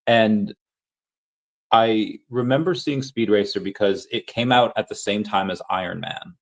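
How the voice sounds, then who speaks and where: A man with a lowish voice hosts a casual podcast, rambling through long stories. He talks in a loose, conversational way, circling back as he goes. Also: unhurried, vocal fry. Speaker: podcast host. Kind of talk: casual chat.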